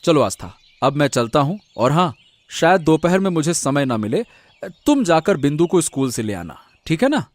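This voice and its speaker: A man's voice: fairly high pitch, big pitch swings, moderate pace, no echo. A man playing a kind, doting village husband and father in a cartoon story, easygoing and affectionate. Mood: gentle, dutiful. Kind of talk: Hindi cartoon moral story acting.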